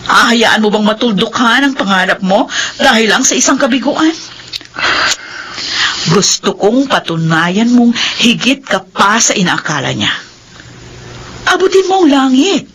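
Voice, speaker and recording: An actress playing a firm, encouraging boss in a radio drama, speaking with authority. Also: female voice, on the high side, very animated, a little slow, long pauses, a bit bright, a little hiss or hum. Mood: stern, rousing.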